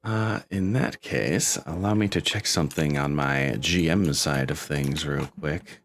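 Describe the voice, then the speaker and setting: Slow, low-voiced man running a game. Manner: rambling, self-correcting. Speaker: game master. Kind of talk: casual chat running a tabletop game.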